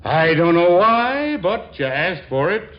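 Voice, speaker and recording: Jovial, swooping, blustering rich dad. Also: male voice, sits high, big pitch swings, slow pace, heavily acted. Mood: blustering, hearty. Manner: hearty, folksy, swooping. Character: jovial, blustering middle-aged wealthy American father. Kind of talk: 1940s radio comedy acting. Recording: muffled, noisy 1940s radio recording.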